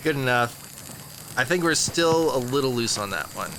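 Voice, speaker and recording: A man's voice: medium pitch, lively intonation, moderate pace, close to an everyday voice. A young man playing a casual, joking bandmate. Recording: clear background noise.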